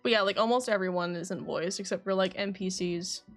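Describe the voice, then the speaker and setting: A woman's voice: mid-range voice, fairly quick, soft background music. A woman livestreaming a game, chatting informally and reading game dialogue aloud. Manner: chatty, informal, says "like" often.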